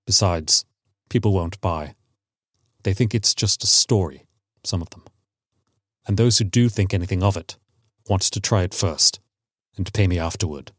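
A man voicing a middle-aged sergeant major in a story, grave and haunted, speaking in a quiet, deliberate, warning way. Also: deep voice, no room sound, clean studio sound. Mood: weary.

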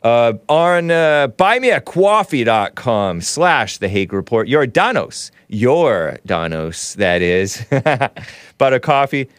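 A man's voice: medium pitch, sing-song, slow pace, smooth, dry recording, clean studio sound. A man hosting a casual livestream, reading viewer chat aloud with jokey asides and frequent chuckles. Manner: jokey, reads chat messages aloud in mock voices, chuckles often.

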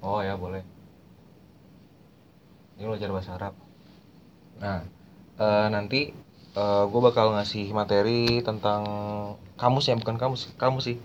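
A man's voice: fairly low pitch, level tone, a little slow, pauses often. Slangy young man explaining. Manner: slangy, repeats phrases while explaining. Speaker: podcast host. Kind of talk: casual chat explaining language learning. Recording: muffled lo-fi recording with faint noise.

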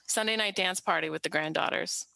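A soft-spoken American woman talks casually as a guest, a little hesitant. Her voice is low for a woman yet bright and forceful. The sound is dry, with no room around it. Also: brisk, creaky, no room sound, low-quality recording. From United States. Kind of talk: casual interview talk.

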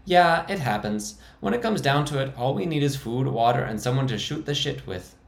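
A man voicing an awkward, high-voiced male survivor with a squeaky tone. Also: lively intonation, normal speed, creaky. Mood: wry awkward. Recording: roomy echo.

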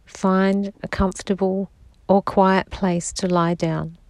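A woman guiding a sleep meditation in a slow, soft-spoken, soothing manner. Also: medium pitch, flat delivery, no room sound. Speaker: meditation guide.

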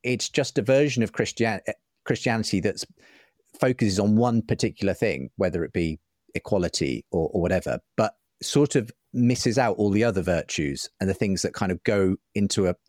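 A man's voice: lowish voice, moderate pace, a little mellow, no echo, studio quality. A man speaking as an interview guest, articulate and reflective, in a conversational style. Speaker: podcast guest, writer and broadcaster.

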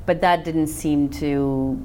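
Macedonian-born woman in her 30s, low voice, chatty researcher guest, bit of background hiss. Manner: chatty and animated, quick laughs. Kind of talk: casual interview conversation.

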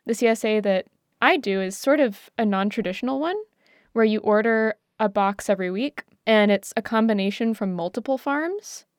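Clear-voiced woman chatting, close and dry. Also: medium pitch, moderate pace, dry recording. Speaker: talk show panelist. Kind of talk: casual conversational explanation.

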